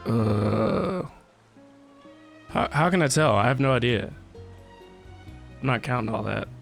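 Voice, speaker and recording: A man's voice: mid-range voice, lively intonation, takes it slowly. A man giving casual live commentary as he plays a game, muttering playful reactions. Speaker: game streamer. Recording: game music clearly behind the voice.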